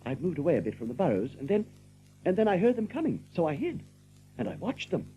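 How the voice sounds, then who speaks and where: An actor voicing a nervy, gentle rabbit survivor in an audio drama, confiding and repeating his phrases. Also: fairly high pitch, big pitch swings, fast talker, slight background noise, low-quality recording. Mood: shaken, rueful. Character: nervy, soothing male rabbit survivor of a massacre.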